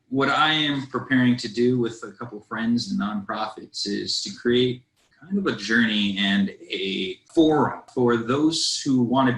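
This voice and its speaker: A man from Southwest Florida introduces himself in a plain, easygoing way. His voice is bright and fairly low, with a roomy echo around it. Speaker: community organizer. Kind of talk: personal introduction on a video call. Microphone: video call. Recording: echoey room.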